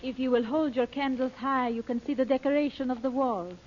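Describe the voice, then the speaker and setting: An actress plays a dignified princess of an ancient line, solemn and formal. Her voice is on the high side, in a dulled old radio recording with slight noise. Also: a little fast, darkish tone, muffled recording, lightly acted. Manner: formal, stately phrasing. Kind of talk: 1930s-40s American radio serial acting.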